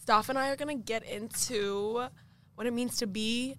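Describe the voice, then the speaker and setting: A woman's voice: a little high, steady tone, normal speed, fairly bright tone. Young woman podcast host, bubbly and slangy. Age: young adult. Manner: playful, gushing, slangy. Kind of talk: casual chat.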